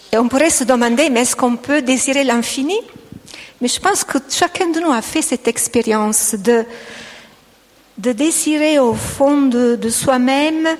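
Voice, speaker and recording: A middle-aged woman with an Italian accent, giving a gentle, hesitant personal faith testimony to an audience. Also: on the high side, long reverb tail. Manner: gentle, hesitant, with frequent restarts.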